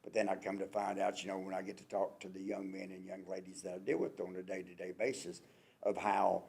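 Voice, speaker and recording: Deep gravelly pastor guy, reflective, says you know a lot. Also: flat delivery, brisk, darkish tone, a little hiss or hum. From Kentucky, USA. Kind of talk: interview answers.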